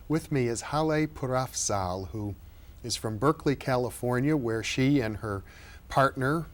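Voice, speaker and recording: A man hosting a television studio interview, calm and measured, murmuring affirmations while his guest speaks. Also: medium pitch. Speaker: host, psychologist.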